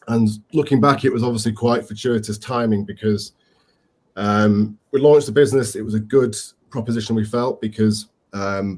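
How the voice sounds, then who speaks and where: Leeds man, co-founder on a panel. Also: lowish voice. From England. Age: 40s. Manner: relaxed, with frequent ums and restarts. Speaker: company co-founder, panel speaker. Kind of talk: online panel talk.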